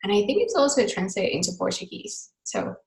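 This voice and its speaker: A woman's voice: medium pitch, big pitch swings, a little fast, gravelly, a little mellow, echoey room. A woman whose first language is Finnish, leading a live online class in a casual, chatty way with easy laughs and rambling asides. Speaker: class facilitator. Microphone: video call mic.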